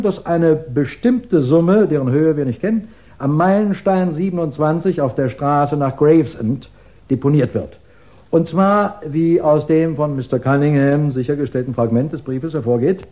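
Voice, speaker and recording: A man's voice: on the high side, animated, lo-fi sound. An actor playing a methodical, courteous police investigator who lays out his case insistently in a 1960s German radio crime drama. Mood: methodical, assured. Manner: measured, insistent, explanatory, courteous.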